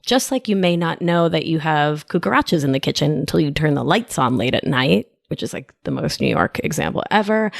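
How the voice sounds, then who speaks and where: A woman's voice: sits low, creaky, no echo, clean studio sound. A woman with an Argentine accent hosting a solo podcast as a life coach, talking in a playful, affectionate way with side remarks. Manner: lively, playful, affectionate, wanders into asides. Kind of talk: scripted solo podcast talk. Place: New York.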